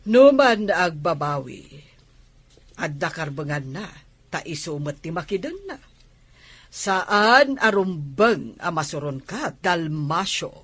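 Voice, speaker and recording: A man playing a measured, resigned older parent in a radio family drama, reasoning deliberately. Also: fairly high pitch, expressive, sharp tone, exaggerated voice.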